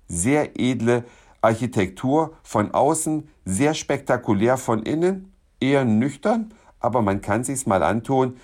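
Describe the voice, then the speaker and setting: A man with a somewhat low voice who is a little hoarse today. He talks in a chatty, enthusiastic way as he reports on his trip. He restarts small phrases as he goes along. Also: a little mellow. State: slightly hoarse. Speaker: podcast host. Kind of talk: casual travel report.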